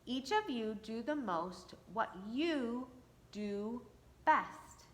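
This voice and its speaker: A woman voicing a gentle, wise male woodcarver who made the wooden villagers, speaking calmly and kindly in a storybook. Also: a little high, extremely slow. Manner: calm, kindly, patient.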